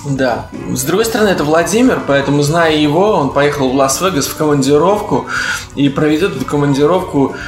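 A man's voice: fairly high pitch, fairly quick. A man from Latvia with a light Latvian accent, hosting a casual comedy podcast in an ironic, joking manner. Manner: ironic, joking, mock-pompous. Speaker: podcast host. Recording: echoey room with music bed.

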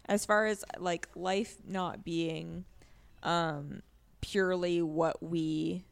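A woman's voice: fairly low pitch, fairly even intonation, extremely slow, vocal fry, smooth. A woman co-hosting a podcast in casual discussion, often thinking aloud as she goes. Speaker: podcast co-host.

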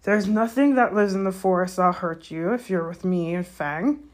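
A woman voicing a big, gruff male gamekeeper with rustic, commanding speech for a storybook reading. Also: medium pitch, normal speed, close to an everyday voice. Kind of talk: audiobook character voice.

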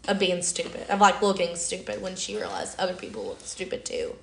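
Raspy, hoarse young woman chatting. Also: lowish voice, big pitch swings, fairly quick, fairly bright tone, a little hiss or hum. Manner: talkative, chatty, leads the conversation. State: hoarse from a cold. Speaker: podcast co-host. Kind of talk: casual chat. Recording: echoey room.

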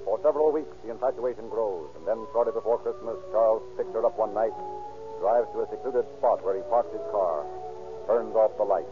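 A man's voice: lowish voice, brisk, over music. Male old-time radio narrator, thin sound. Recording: thin, phone-like vintage radio recording.

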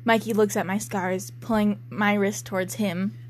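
A woman's voice: mid-range voice, level tone, moderate pace, a little hiss or hum. A young woman co-hosting a podcast, casually reading amateur fiction aloud with sarcastic, self-mocking, giggly commentary. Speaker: podcast co-host. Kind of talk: casual joking dramatic reading.

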